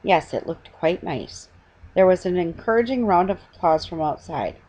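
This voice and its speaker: A middle-aged woman reads a children's storybook aloud with a husky voice, stumbling now and then and correcting herself. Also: fairly low pitch, animated, darkish tone. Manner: halting reading with stumbles and self-corrections. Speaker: reader. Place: small living room at home, indoors. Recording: dull lo-fi webcam sound.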